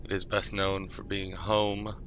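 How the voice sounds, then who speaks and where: A man reading fantasy lore aloud in a measured, formal manner, correcting himself politely, as part of a roleplay. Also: sits low, completely flat delivery, background noise. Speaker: live roleplay streamer.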